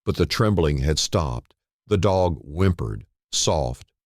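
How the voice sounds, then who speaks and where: Deep-voiced man narrating slowly and solemnly. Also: lively intonation, dry recording, clean studio sound. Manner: solemn, deliberate, short phrases with dramatic pauses. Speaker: storyteller, narrator. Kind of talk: dramatic scripted story narration.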